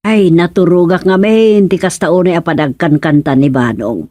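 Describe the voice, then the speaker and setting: A woman's voice: lowish voice, moderate pace, warm tone, no room sound, close to an everyday voice. A woman with an Ilocano accent playing a grumbling village gossip in a radio comedy drama.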